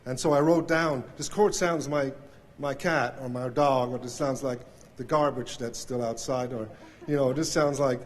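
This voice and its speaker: A middle-aged man from Switzerland with a Swiss German accent, giving an acceptance speech at an awards ceremony in a hall, halting and full of fillers and restarts. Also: mid-range voice, steady tone. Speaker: award inductee. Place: hall with audience. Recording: faint noise from live recording.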